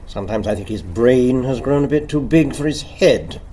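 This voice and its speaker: An actor in a radio play as a haughty, intense anarchist secretary, speaking in a dry, grave, brooding way. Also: male voice, mid-range voice, expressive, moderate pace, mellow, a little hiss or hum, close to an everyday voice. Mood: dry disdain.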